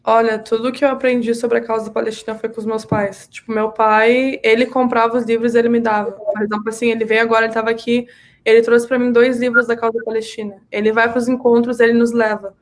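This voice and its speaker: Fast-talking southern Brazilian woman, higher voice. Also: level tone. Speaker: podcast guest. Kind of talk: casual, animated personal storytelling in conversation.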